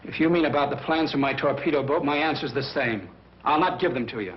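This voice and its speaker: A man's voice: mid-range voice, brisk, close to an everyday voice. A firm, plain-spoken man an actor as a stubborn captive in a 1940s film serial. His voice sits in a middle register. The sound is dull and faintly noisy, like old film. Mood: firm, defiant. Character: defiant, principled captive inventor, stubborn but bargaining.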